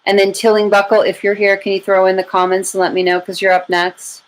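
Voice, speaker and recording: A woman's voice: mid-range voice, completely flat delivery, fairly quick, poor audio. An American woman hosting a live tarot reading, chatting informally to her viewers and thinking aloud as she goes. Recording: clear background noise and room ambience.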